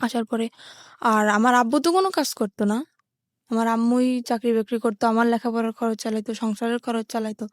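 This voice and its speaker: Female guest from southern Bangladesh, higher-pitched, plain colloquial storytelling, close and dry recording. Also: steady tone, brisk, no room sound. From Patuakhali, southern Bangladesh. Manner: plain, colloquial, step-by-step telling. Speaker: guest telling her life story. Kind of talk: personal storytelling.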